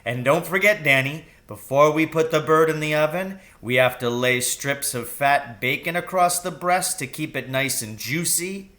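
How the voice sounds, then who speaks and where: A man's voice: fairly high pitch, normal speed, hardly any pauses, pushed and bright, voice pushed up. A man voicing an exuberant, boastful, loving father in a children's story, with grand, emphatic declarations. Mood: grandly enthusiastic.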